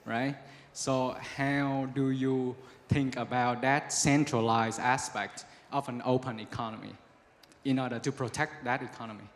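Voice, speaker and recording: A man with a medium-pitched voice puts a question at a conference. He talks informally and loosely, padding phrases and checking that listeners follow. His voice rings in a big reverberant hall. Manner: rambling, filler-heavy, often seeking agreement. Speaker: audience member asking a question. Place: large conference event hall.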